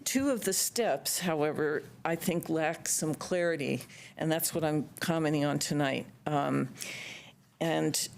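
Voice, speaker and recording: A woman's voice: sits low, gravelly, a little mellow, slight background noise. A woman speaking for a local bird society, giving an earnest, carefully read public comment at a board meeting. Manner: earnest, reads carefully with some fillers.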